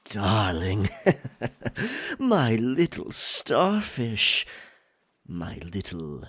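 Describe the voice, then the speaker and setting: A man playing a possessive, roguish pirate captain in an ASMR roleplay, theatrical, teasing and dominant, with a husky, breathy voice. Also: on the low side, huge pitch swings, very slow, a bit bright, exaggerated voice. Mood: smitten, seductive.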